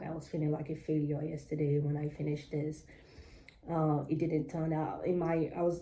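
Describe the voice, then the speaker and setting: A woman's voice: fairly low pitch, warm tone, poor audio. A young woman from Nigeria with a British-tinged Nigerian accent, rambling casually with lots of ums and self-corrections. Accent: Nigerian with British-influenced English. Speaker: crochet vlogger. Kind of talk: casual chatty vlog.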